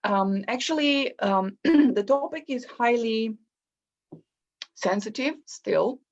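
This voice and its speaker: A woman with a Romanian accent hosting a book launch as an academic, speaking haltingly with many pauses. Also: a little high, expressive. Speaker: event host, academic literary historian. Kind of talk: book launch introduction and interviewing. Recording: muffled online livestream audio.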